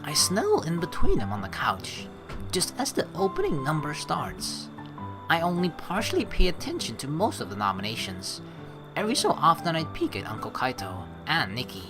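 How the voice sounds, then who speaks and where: Male streamer, lively pitch swings, casual and joking, sweary, music underneath. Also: moderate pace. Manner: animated, joking, swears freely. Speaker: let's play streamer. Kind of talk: casual playthrough commentary mixed with reading narration aloud.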